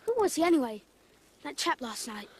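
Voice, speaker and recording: A woman's voice: high-pitched, animated, brisk, a little hiss or hum. A woman playing a curious, slightly indignant schoolchild adventurer in an audio drama, polite and questioning. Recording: loud music and outdoor ambience behind.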